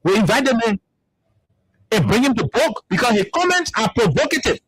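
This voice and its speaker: A Liberian man hosting a radio talk show, with a notably high voice and a Liberian English accent. He talks emphatically and stumbles through repeated words as he builds his argument. The studio sound is dry and close. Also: very animated, fairly quick, husky, no echo, poor audio. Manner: emphatic, repeats words while searching for them. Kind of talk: heated political commentary.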